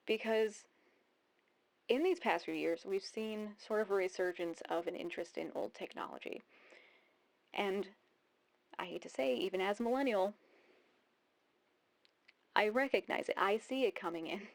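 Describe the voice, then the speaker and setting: A woman's voice: mid-range voice, gravelly. A woman in her thirties giving casual video essay commentary, rambling and thinking aloud as she repeats phrases. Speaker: YouTube host.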